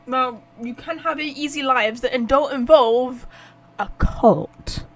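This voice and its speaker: A woman's voice: high-pitched, slow, fairly bright tone. A woman giving casual let's-play commentary as a gaming streamer, reacting bluntly and with some swearing.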